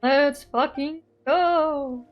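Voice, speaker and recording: Casting: female game streamer, notably high voice, casual playful banter, blunt exclamations, light music underneath. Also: drawn-out words, dull audio. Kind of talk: casual gameplay commentary.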